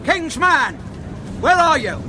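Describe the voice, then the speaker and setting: An actor in a radio drama playing a ruthless outlaw baron, menacing, bellowing and mocking. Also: male voice, extremely high pitch, very animated, slow, noisy background, full character voice. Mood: threatening. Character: ruthless, sneering outlaw baron holding a hostage. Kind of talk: broadcast radio drama acting.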